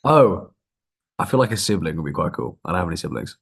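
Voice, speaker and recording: Young British male guest, low-pitched, easygoing conversational tone. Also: big pitch swings, quick pace, creaky, breathy edge. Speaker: podcast guest. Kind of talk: casual interview chat.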